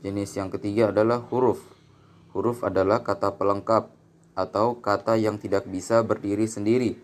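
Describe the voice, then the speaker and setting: A man with an Indonesian accent narrating a scripted language lesson as a teacher, in a measured, didactic, phrase-by-phrase way. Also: fairly low pitch. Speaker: Arabic grammar teacher. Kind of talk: scripted lesson narration with bilingual example sentences.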